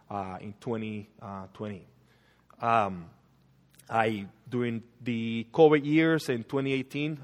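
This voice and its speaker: A Panamanian-accented man in his thirties leads prayer and preaches an earnest sermon, filling his pauses with soft uhs and ums. His voice sits low. It rings out with the long reverb of a church hall. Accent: Panamanian Spanish. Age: 30s. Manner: earnest, with frequent uh and um fillers. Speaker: guest preacher. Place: church sanctuary.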